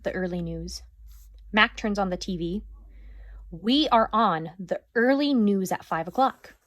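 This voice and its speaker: A woman teacher reading a chapter book aloud to her class, with expressive, lively storytelling. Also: medium pitch, measured pace, dry recording.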